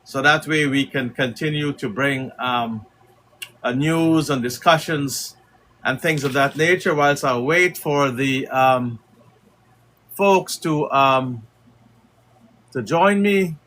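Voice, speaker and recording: A middle-aged man from Guyana hosting a casual livestream as a community leader, talking in a halting way with many fillers. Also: mid-range voice, fairly bright tone, a little hiss or hum.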